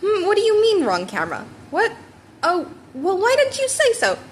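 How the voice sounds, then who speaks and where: A woman's voice: very high voice, huge pitch swings, moderate pace, pitched above the performer's own voice, exaggerated voice. Big-hearted heroic mentor man played by a woman, chatty and emphatic, swooping delivery, audiobook with faint noise. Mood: flustered, grumbling. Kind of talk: amateur fan fiction audiobook character.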